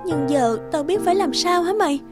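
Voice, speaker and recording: Earnest cartoon woman, high voice. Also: lively intonation, a little fast, over music. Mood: upset, urgent. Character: troubled women confiding, earnest and dramatic. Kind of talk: melodramatic Vietnamese cartoon dubbing.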